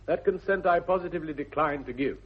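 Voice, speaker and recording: An actor playing a proper, pompous English gentleman guardian with an upper-class accent, formal and indignant, in a 1960s radio comedy. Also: on the high side, quick pace, slightly rough. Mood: stern, indignant. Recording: dull vintage radio recording.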